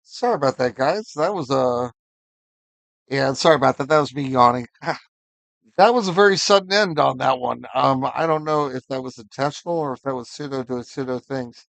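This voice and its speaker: A man's voice: mid-range voice, moderate pace, vocal fry, a bit bright, no echo. A man hosting an online music session in a casual, hesitant, apologetic way. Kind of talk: casual live chat.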